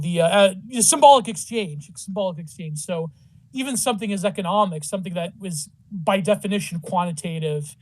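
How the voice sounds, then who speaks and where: A high-voiced man rambles through a casual online chat, scattering "like" everywhere and tossing in jokes. The sound is dry and close, with no room echo. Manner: rambling, heavy use of "like", joking. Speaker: participant. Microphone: online audio chat.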